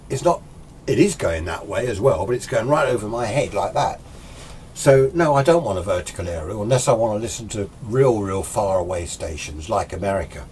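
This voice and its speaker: A man's voice: lowish voice, a little mellow, a little hiss or hum. A British man in his sixties giving an informal, opinionated, rambling explanation as a hobbyist presenting a tutorial. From United Kingdom. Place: small indoor radio workshop.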